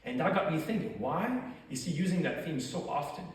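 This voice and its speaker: A man's voice: on the high side, animated, a little fast. A man with a thick French accent giving an academic lecture, explaining points and posing rhetorical questions to his audience. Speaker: doctoral lecturer. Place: lecture hall. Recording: echoey hall, far from mic.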